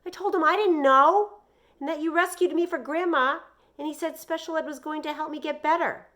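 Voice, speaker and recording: A wound-up young boy played by a woman reading a storybook aloud, pitched well up and pushed loud. He blurts out his grievances insistently, one tumbling into the next. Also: fairly quick. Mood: frantic. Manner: shouting, insistent, breathless run-on complaints.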